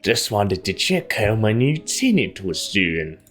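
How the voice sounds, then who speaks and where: A man's voice: lowish voice, very animated, measured pace, faint music behind. A man voicing a creepy, politely intrusive middle-aged landlord in a visual novel, with a put-on British accent that drifts into Australian. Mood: creepily polite.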